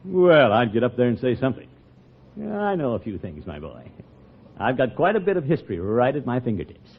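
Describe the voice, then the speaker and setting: An American actor playing a pompous, folksy middle-aged small-town uncle in a radio comedy, with self-important, oratorical delivery. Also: male voice, medium pitch, very animated, brisk, slightly rough. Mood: proud, folksy. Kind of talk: 1950s radio comedy acting. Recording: tinny old radio broadcast, muffled.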